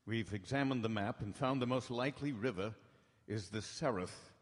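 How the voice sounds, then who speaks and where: A man's voice: lowish voice, moderate pace, lightly acted. An actor playing an earnest, weary Victorian doctor reading out his journal in a live radio drama. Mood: grave, reporting. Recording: echoing hall with live music behind.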